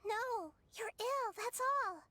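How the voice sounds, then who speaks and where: A woman playing a small, wise and gentle child goddess in a video game, earnest and thoughtful. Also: extremely high pitch, slow pace, no room sound, exaggerated voice.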